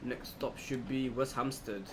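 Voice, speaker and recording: A man's voice: medium pitch, unhurried. Man commentating over a noisy background. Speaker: gaming video host. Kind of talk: casual let's play commentary of a train simulator. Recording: clear steady background noise, air-conditioning-like hum.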